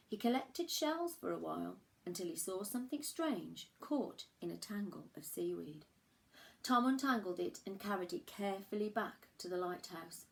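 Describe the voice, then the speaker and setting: A woman reads a picture book to young children in a slow, creaky voice of middling pitch. She narrates like a primary school teacher, leaning on key words and pausing for drama. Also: poor audio. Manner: measured, dramatic emphasis and pauses for young listeners. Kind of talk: expressive children's storybook narration.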